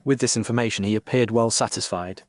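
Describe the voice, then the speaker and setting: A man reading a scripted first-person literary narration in a measured, formal way. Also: on the low side, fairly even intonation, dry recording, clean studio sound. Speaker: audiobook narrator.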